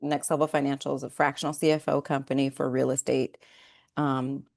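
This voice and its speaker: A woman's voice: extremely low pitch, little pitch movement, fairly quick, vocal fry, darkish tone, no room sound, studio quality. A woman hosting a webinar interview, enthusiastic and upbeat. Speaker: host.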